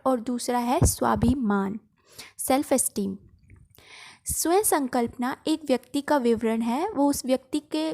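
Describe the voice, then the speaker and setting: A woman's voice: high-pitched, a little mellow. A woman with an Indian accent explaining a school lesson step by step, in a teacher's didactic manner. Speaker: home science teacher.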